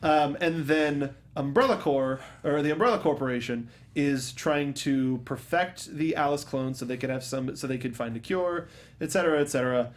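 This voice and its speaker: Guy cohosting a movie podcast, kinda high voice, sarcastic and jokey, just riffing casually. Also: normal speed. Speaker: podcast co-host. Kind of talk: casual movie review banter.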